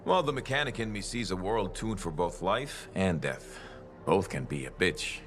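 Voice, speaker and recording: Very deep, cocky mechanic. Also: male voice, animated, normal speed. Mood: musing, wry. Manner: boastful, folksy, plain-spoken. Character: cocky, gruff mechanic freelancer philosophizing about the world as machine. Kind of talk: video game dialogue acting.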